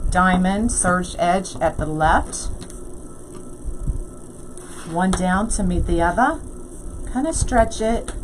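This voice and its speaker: A woman's voice: mid-range voice, noisy background. A woman hosting a casual live crafting tutorial, chatty and laughing often as she works and reads viewer comments. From USA. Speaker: craft livestream host.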